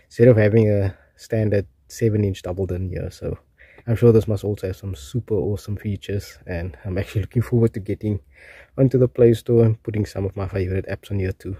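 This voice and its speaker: A man's voice: on the low side, steady tone, fairly quick, mellow, slightly rough, no echo. A man with a South African accent giving a casual, hands-on DIY tutorial, talking informally to his viewers. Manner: informal, addresses viewers as guys. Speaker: presenter of a DIY installation tutorial.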